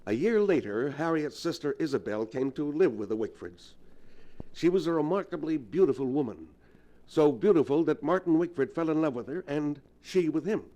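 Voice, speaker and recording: Grave, measured doctor played by an actor. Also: male voice, a little high, expressive, warm tone, close to an everyday voice. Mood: grave, explaining. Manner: measured, ominous storytelling. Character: grave, learned doctor versed in the supernatural. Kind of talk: 1940s radio acting.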